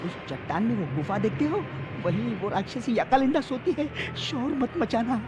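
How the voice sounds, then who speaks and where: A woman's voice: fairly high pitch, expressive, moderate pace, natural voice. A woman playing an earnest, cautious young male adventurer in a Hindi cartoon dub, speaking with urgency. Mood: urgent warning. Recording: cartoon music behind the voice.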